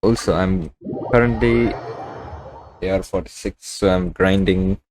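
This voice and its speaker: Deep-voiced man chatting over a game. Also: unhurried, slight background noise. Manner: relaxed, chatty asides to viewers. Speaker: gaming streamer. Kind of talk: casual live gameplay commentary. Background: game music.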